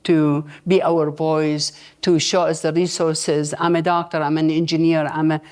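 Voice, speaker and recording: A mature woman with an Iraqi Arabic accent shares her own story as an interview guest. Her voice is low-pitched with little rise and fall, and her phrasing is halting and non-native. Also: little pitch movement, darkish tone. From Baghdad, Iraq. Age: middle-aged or older. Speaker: interview guest, nonprofit founder. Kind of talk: personal story told at a live event.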